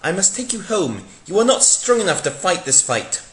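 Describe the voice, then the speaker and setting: A man's voice: high voice, big pitch swings, moderate pace, fairly bright tone, pitched above the performer's own voice. A man voicing a solemn, pompous time-travelling hero in a dramatic reading, with stilted, declamatory delivery. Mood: grave. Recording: echoey room.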